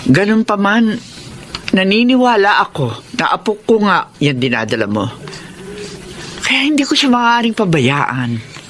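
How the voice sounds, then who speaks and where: A woman's voice: mid-range voice, sing-song, a little slow, pauses often, slight background noise. A woman playing a stern but supportive middle-aged mother-in-law in a radio drama, firm and frank. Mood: firm, reserved.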